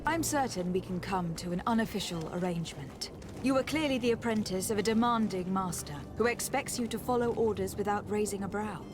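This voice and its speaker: A woman's voice: medium pitch, a little fast, close to an everyday voice. An actress playing a poised, commanding noblewoman diplomat in a video game, speaking formally and articulately. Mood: shrewd, persuasive. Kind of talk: scripted video game dialogue. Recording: music behind the voice.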